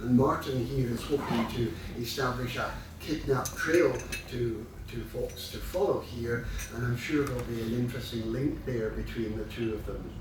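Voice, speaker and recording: An older man giving a formal introduction of a speaker, measured, courteous and slightly halting. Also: medium pitch. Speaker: club president introducing a speaker. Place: large room at a club event. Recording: very echoey room, distant mic, noisy.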